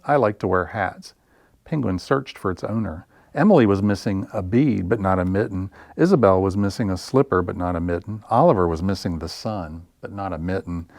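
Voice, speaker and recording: A man hosting a library story time, reading picture books to young children in a deliberate, expressive way with pauses for effect. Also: sits low, dry recording. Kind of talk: picture-book read-aloud for young children.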